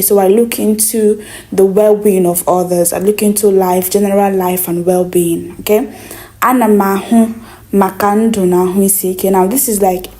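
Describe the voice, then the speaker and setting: A woman teaches a language lesson. Her voice sits in a middle pitch. She says each phrase slowly and repeats it so learners can follow. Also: warm tone.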